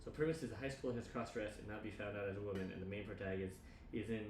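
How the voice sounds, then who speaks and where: Man, livestream co-host, very quick pace, rambling casual chat, excitable, echoey room. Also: lowish voice, far from the mic. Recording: roomy echo, faint background noise.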